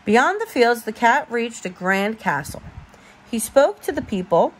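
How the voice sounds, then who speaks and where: A woman reading a bedtime storybook aloud as a parent, expressive and informal, stumbling now and then over words. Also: a little high, a little slow, a little hiss or hum, rough recording.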